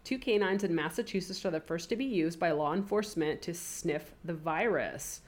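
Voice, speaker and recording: A woman with a low voice, made a little deeper by a cold, hosts a casual podcast. She chats easily and reads news stories aloud, stopping to add her own comments. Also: normal speed. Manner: chatty, reads news items aloud with asides. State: has a cold, voice deeper than usual. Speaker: podcast co-host.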